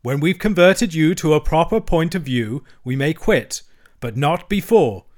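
A man's voice: medium pitch, animated, normal speed, close to an everyday voice. A man voicing a stern male badger from a storybook, solemn and commanding, given to speechifying. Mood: firm, commanding. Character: stern, oratorical male badger, gang leader. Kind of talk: storybook character voice.

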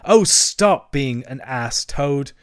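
A man's voice: medium pitch, very animated, normal speed, dry recording, studio quality. A man voicing an anxious, kindly mole in a storybook, with a worried, pleading manner. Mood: despairing.

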